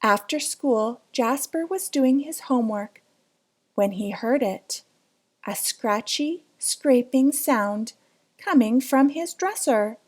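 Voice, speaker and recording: A woman's voice: fairly high pitch, a little mellow. A woman reading a children's picture book aloud in a dramatic, suspenseful way, drawing out words. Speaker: storybook reader.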